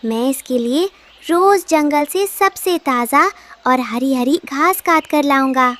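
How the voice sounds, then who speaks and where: An extremely high little voice for a cartoon bird child acted by a woman. It sounds cheerful and earnest, with a childish way of talking. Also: slow, a bit bright.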